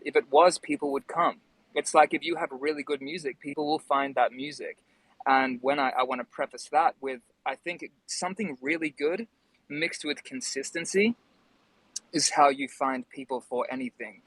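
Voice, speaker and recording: A man's voice: mid-range voice, fairly bright tone, no room sound, low-quality recording. A man in a casual discussion, explaining his thoughts in a reflective way. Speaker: panelist in an online music discussion. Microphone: phone.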